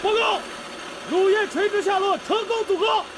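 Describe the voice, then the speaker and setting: An actor playing a blunt, cautious and excitable steel-mill colleague in a Chinese radio drama. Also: male voice, extremely high pitch, moderate pace, noisy background. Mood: excited report.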